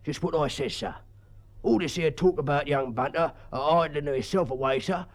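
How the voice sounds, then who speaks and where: A man's voice: a little high, normal speed, close to an everyday voice. A man voicing an ancient English school porter with a working-class dialect, stubborn yet deferential, rambling and plain-spoken in an audiobook story. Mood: earnest.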